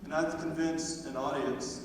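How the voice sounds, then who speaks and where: A man's voice: on the high side, fairly even intonation, fairly quick. Teenage boy in a very echoey hall. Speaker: high school theater student. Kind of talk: scripted student monologue on stage. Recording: very echoey hall, distant mic, clear noise.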